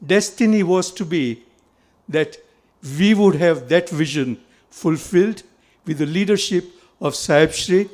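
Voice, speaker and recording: A man with a slightly high voice speaks with pride and emphasis. His delivery is declamatory, stressing words as if addressing a gathering. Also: a little slow, darkish tone. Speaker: community speaker. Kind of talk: speech to camera.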